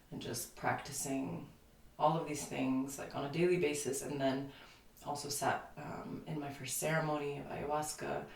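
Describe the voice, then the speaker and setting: Gravelly-voiced woman, heard from across an echoey room. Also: low voice, slight background noise. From Lithuania. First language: Lithuanian. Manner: reflective, rambling with many fillers. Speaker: guest. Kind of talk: casual interview conversation. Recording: echoey room, distant mic, faint ambience.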